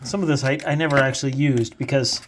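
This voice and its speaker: A man's voice: mid-range voice, fairly even intonation, fast talker, a little hiss or hum. A middle-aged man chatting casually and unscripted as he reviews a gadget, rambling along in an amused way. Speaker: co-host, dad showing vintage gadgets.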